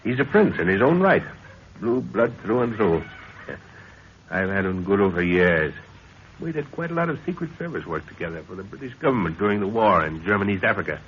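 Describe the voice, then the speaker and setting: An actor playing a middle-aged, authoritative adventurer who talks in a measured, reassuring way in an old radio serial. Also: male voice, fairly low pitch, sing-song. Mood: admiring. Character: calm, authoritative older British adventurer, former secret agent. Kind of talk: 1930s radio adventure acting. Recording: muffled, hissy 1930s radio recording.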